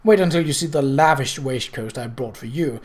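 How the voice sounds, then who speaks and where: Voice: mid-range voice, normal speed, darkish tone, pitched below the performer's own voice, lightly acted. A narrator voicing a gushing, playful young upper-class lady in a storybook. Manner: effusive, playful, calling out.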